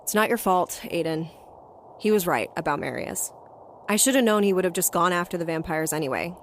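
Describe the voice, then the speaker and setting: Low-voiced, defiant young heroine. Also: female voice, animated, fairly quick, slight background noise. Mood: regretful. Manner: terse, dry, defiant. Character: defiant, guarded young female monster hunter, narrating her story. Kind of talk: audio drama narration and dialogue.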